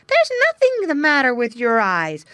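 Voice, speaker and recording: A woman voicing a playful young male otter who shouts eagerly in a high voice. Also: huge pitch swings, moderate pace, slight background noise. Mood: alarmed.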